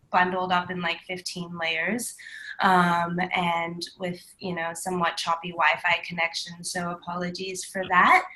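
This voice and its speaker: Bright-voiced woman, stuffy from a cold. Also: on the low side, poor audio. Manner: upbeat, lots of ums. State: stuffy from flu. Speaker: co-host. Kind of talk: live-stream chat. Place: home.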